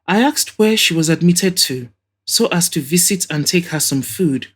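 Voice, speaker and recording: A woman's voice: lowish voice, animated, moderate pace, slightly rough. A woman voicing an effusive, nostalgic older mother and hostess in an audio drama. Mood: sorrowful. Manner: effusive, exclaiming, reminiscing.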